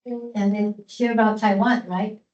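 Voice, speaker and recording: A woman's voice: medium pitch, fairly even intonation, unhurried, a little mellow. A woman teacher giving classroom instructions with many fillers and repeated words. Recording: echoey classroom from far off, dull.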